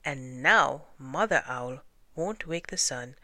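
A woman voicing a stern, commanding lion king who declaims judgments in an animated folktale. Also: very deep voice, takes it slowly, dry recording, full character voice. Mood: pronouncing judgment. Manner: declamatory, cumulative repetition.

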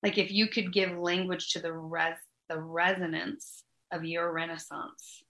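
A woman's voice: fairly low pitch, little pitch movement, unhurried, pushed and bright, poor audio. A woman hosting a podcast interview, talking reflectively and searching for words, with spiritual language. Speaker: podcast host.

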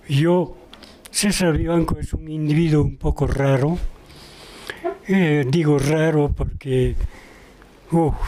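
A man's voice: medium pitch, warm tone. A man, talking reflectively as a researcher interviewed on a podcast. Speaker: interview guest, researcher and documentary maker.

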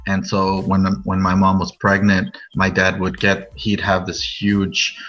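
A man's voice: low voice, completely flat delivery, measured pace, a bit bright. An American man in his late forties telling his personal story as a podcast guest in a casual, rambling way, full of "you know". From Norwalk, California, USA. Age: late 40s. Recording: muffled lo-fi audio over music.

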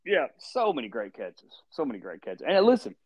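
A man's voice: mid-range voice, huge pitch swings, brisk, darkish tone, slightly rough, no room sound, muffled recording. A man with a Southern accent co-hosting a sports podcast in a laid-back, joking drawl, often repeating his phrases. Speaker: podcast co-host. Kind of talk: casual sports chat.

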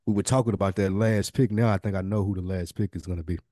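A man's voice: sits low, steady tone, fast talker, mellow. An American man chatting casually as a podcast co-host. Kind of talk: casual chat, fantasy draft banter.

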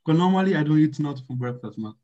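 A man's voice: a little high, animated, very fast, dark tone. A man chatting casually as an interview guest, informal and slangy, on a slightly muffled lo-fi recording. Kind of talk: casual chat.

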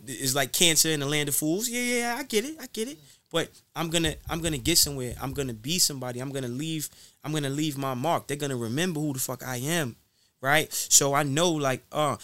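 Guy doing motivational interview talk, kinda high voice, preachy and emphatic, repeats himself, really dry sound. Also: sharp tone, no echo. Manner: emphatic, repeats phrases for effect. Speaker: interview guest, rapper.

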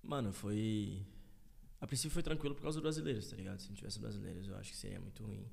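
A man's voice: fairly low pitch, fairly even intonation, very fast, vocal fry, a little mellow, slightly rough. A young man, a podcast guest, chatting casually and telling stories loosely with lots of slang and fillers. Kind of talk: casual chat.

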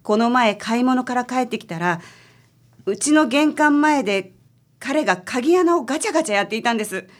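A woman's voice: fairly high pitch, animated, normal speed, natural voice. A woman playing a worried married client in a radio drama, speaking politely, hesitantly and apologetically. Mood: anxious recounting. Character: timid, worried married woman seeking detective's help.

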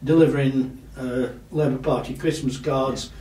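A man, a local councillor with a loud voice, talking casually in an interview and pausing often with fillers. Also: mid-range voice, steady tone. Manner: loud voice, halting with many fillers. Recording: echoey room, distant mic.

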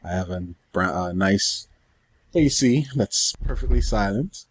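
A man co-hosting a podcast, chatting casually in a rambling, halting way. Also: lowish voice, animated. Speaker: podcast co-host. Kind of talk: casual chat about anime and games.

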